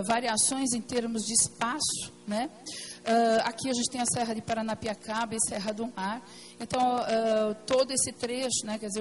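A woman with a hoarse, partly lost voice, giving a hesitant technical presentation at a council meeting, full of fillers and restarts. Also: on the high side, poor audio. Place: meeting room at a plenary session.

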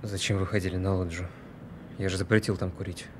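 A man's voice: sits low, flat delivery, brisk, background noise, lightly acted. An actor playing an irritable newlywed man woken early, curt and sarcastic in a naturalistic audio drama. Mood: sardonic, curt.

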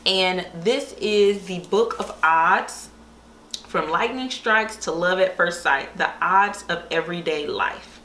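A young woman with a slightly low voice talks casually to the camera like a book vlogger. She is informal and enthusiastic. The small room echoes noticeably, with a little background noise behind her. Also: takes it slowly, pushed and bright. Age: young adult. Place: small bare-walled room indoors.

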